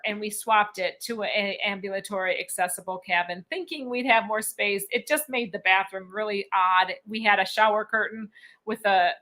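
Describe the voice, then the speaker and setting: A woman's voice: medium pitch, sharp tone. A middle-aged woman hosting a casual cruise live stream, chatting, laughing often and reading out viewer comments. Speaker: cruise vlog host.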